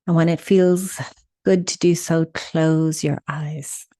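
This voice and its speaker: Mellow, low-voiced woman guiding meditation. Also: fairly even intonation, no echo, clean studio sound. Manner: soothing, instructional, with deliberate pauses. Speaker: meditation guide and host. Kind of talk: guided meditation.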